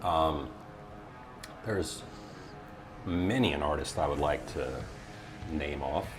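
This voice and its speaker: A man's voice: extremely low pitch, takes it slowly, music in the background. A man chatting casually as an interview guest, in a laid-back, joking way. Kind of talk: casual chat.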